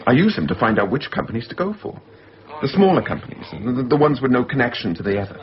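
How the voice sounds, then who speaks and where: A man's voice: mid-range voice, very animated, fast talker, lightly acted. Male actor playing eager scheming man, persuasive, casual, swooping intonation, muffled radio drama recording. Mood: insistent, scheming. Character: film-obsessed scheming young man, eager and insistent. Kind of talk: 1990s radio drama acting.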